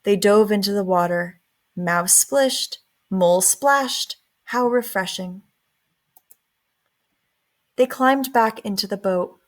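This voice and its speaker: A woman narrates a children's storybook at a slow pace, with a medium-pitched voice. Her reading is deliberate and expressive. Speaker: storybook reader.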